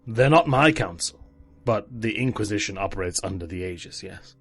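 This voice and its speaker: Man playing a husky, weary holy knight. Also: lowish voice, animated, normal speed, a bit bright. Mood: defensive, stern. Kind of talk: dramatic fantasy audio drama acting.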